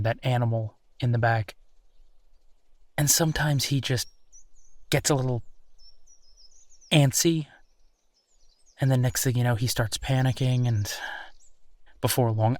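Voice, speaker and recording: A man playing a gentle, embarrassed werewolf boyfriend in an intimate roleplay audio drama, speaking hesitantly and self-deprecatingly. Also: medium pitch, natural voice. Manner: hesitant, self-deprecating, sighing, soft chuckles.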